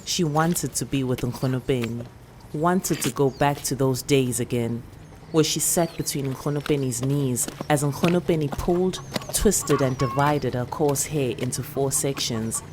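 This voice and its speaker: Very deep-voiced South African woman narrating a story. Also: measured pace, background noise. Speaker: actor reading a short story. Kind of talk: dramatic literary story narration.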